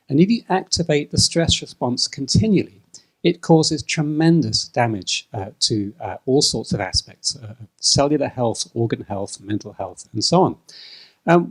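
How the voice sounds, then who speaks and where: A man speaking on a panel at a live launch event, explaining in a measured way with frequent fillers. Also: medium pitch, low-quality recording.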